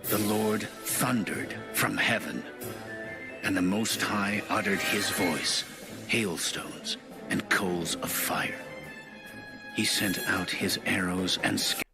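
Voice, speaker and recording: Deep, slow man reading scripture over music. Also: steady tone, gravelly. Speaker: Bible narrator.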